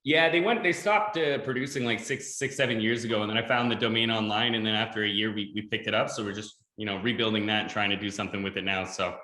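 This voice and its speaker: Guy from ontario hosting a podcast, eager n rambly, bright voice, echoey room. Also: lowish voice, quick pace, few pauses. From Ontario, Canada. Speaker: podcast host. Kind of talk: casual interview chat. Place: games room in a rented house.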